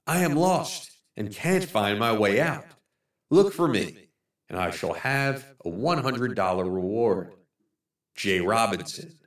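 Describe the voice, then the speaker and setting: A man voicing a lost, starving man who pleads in a soft, hushed, higher voice. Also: animated, slow, reverberant, recorded from a distance. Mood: pleading.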